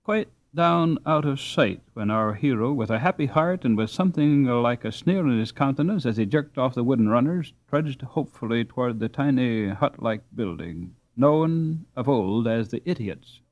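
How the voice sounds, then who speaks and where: A man reading a storybook aloud as an audiobook narrator, in an expressive, deliberate manner. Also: mid-range voice, dull audio.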